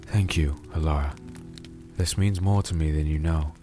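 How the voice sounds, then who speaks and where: An actor playing a weary, disenchanted crusader who narrates a dark fantasy audio drama in a slow, solemn, gentle way. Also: male voice, very deep voice. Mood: tender, reassuring. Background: music with rain sounds.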